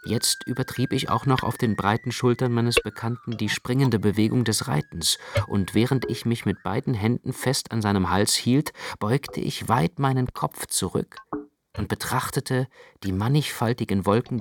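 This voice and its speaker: A man narrating literary prose for a radio production in a dramatic, expressive way. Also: mid-range voice, normal speed, a little hiss or hum.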